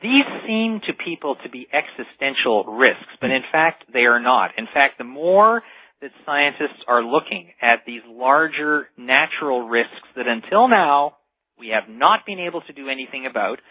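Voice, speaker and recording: A man's voice: mid-range voice, expressive, moderate pace, bright, forceful tone, husky, dry recording. A man speaking as an expert guest in a radio interview, explaining in a conversational way. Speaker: talk radio guest, futurist. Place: radio call-in show. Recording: dull lo-fi radio broadcast.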